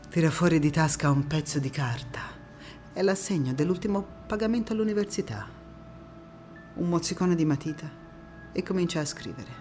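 An actress playing a young Italian partisan woman who recalls the war in an intense, deliberate theatrical monologue. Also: low voice, a little mellow. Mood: hushed, grave. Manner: intense, deliberate, with dramatic pauses and repetitions. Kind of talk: dramatic theatrical monologue narration.

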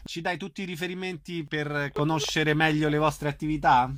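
Casting: male radio interviewer, fairly high, forceful bright voice, announcing events and putting questions to a guest. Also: little pitch movement, normal speed, dry recording, studio quality.

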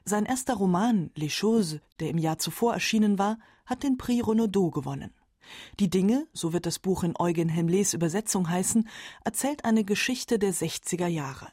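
Female narrator, lowish pitch, dark soft tone, calm, factual, scripted radio documentary, dry studio. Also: no room sound.

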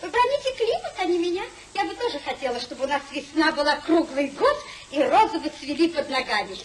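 A wilful young society wife in a 1950s radio comedy. Her voice is extremely high and leaps about with coquettish, teasing defiance. The old recording has clear background noise. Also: sing-song, brisk. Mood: teasing, pouting.

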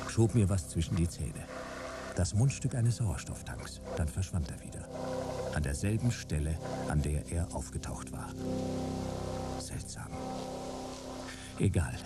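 An actor playing a hard-boiled private detective who narrates a sci-fi radio play in terse, wry, dramatic fragments. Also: male voice, low-pitched, exaggerated voice.

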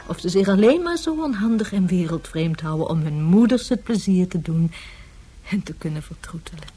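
A fairly low, mellow woman's voice in a radio play, as a dreamy mother in love with babies. She muses tenderly and slips into hushed tones. Faint music plays underneath. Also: animated, normal speed, slightly rough. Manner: tender, musing, drifting into hushed whispers. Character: dreamy much-rejuvenated mother, besotted with babies and childbearing. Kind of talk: radio drama monologue.

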